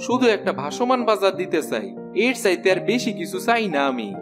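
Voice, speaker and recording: A man's voice: sits high, sing-song, fairly quick, music in the background. A man voicing an earnest, good-natured young village husband in a cartoon, with a rural Bangladeshi accent. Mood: determined. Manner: folksy, eager. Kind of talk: Bengali cartoon fairy-tale acting.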